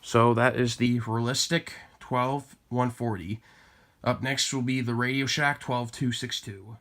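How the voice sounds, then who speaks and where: A man's voice: medium pitch, steady tone, a little slow, a bit bright. A man presenting items from his collection in a casual, plain-spoken vlog style, thinking aloud as he goes. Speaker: collector presenting weather radios. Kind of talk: casual product showcase vlog.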